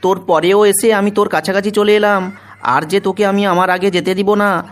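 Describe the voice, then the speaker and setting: A man's voice: high voice, fairly even intonation, moderate pace, slight background noise, pitched above the performer's own voice, natural voice. A man voicing a boastful, menacing female witch in a cartoon, with a taunting manner. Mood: gloating. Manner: taunting, threatening.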